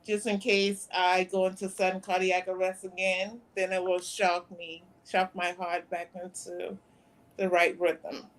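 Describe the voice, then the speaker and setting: A woman in her fifties, a guest on a talk show, recounting events in a plain, soft-spoken way. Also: lowish voice, little pitch movement, measured pace, rough recording. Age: 50s. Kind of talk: interview conversation.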